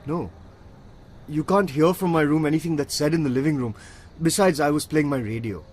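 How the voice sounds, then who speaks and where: An actor playing a polite, obliging household secretary in a radio drama. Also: male voice, fairly high pitch, a little fast, darkish tone, slightly rough. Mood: careful, answering.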